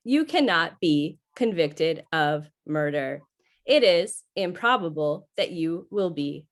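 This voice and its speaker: Casting: woman voicing unfeeling helper computer, lowish pitch, very deliberate pace, retro radio sci-fi. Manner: measured, impassive announcer delivery. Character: calm, helpful, unfeeling computer service voice. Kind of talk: 1950s radio sci-fi acting.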